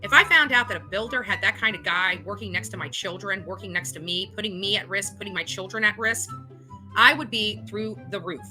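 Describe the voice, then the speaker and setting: A woman's voice: mid-range voice, a little fast, bright, forceful tone, soft background music, rough recording. A woman speaking off the cuff in an indignant, emphatic, self-justifying way, like a vlog host. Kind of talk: unscripted monologue to camera.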